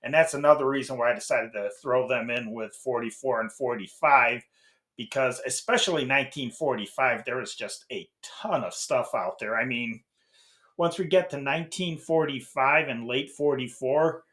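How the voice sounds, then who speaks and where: A man's voice: medium pitch, normal speed, fairly bright tone. Middle-aged American man, rambling and casual. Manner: rambling, hesitant, often breaking off and repeating himself. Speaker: host of an old-time radio channel. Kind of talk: casual unscripted channel update.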